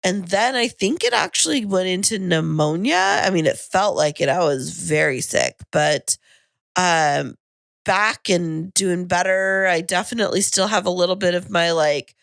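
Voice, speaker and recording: A woman co-hosting a podcast in casual chat, her voice husky and a little raspy from a lingering cold, talking in a chatty, rambling way. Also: fairly low pitch, expressive, a little slow, a bit bright, dry recording. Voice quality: slightly raspy. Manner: chatty, self-deprecating, rambling. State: husky tail-end-of-cold voice. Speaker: podcast co-host. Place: home podcasting studio.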